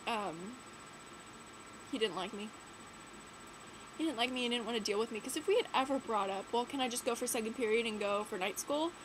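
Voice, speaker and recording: Teen girl vlogger, stuffy from a cold, rambling filler-heavy chat, mid pitch, noisy room. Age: late teens. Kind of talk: casual chat to camera. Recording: audible background noise.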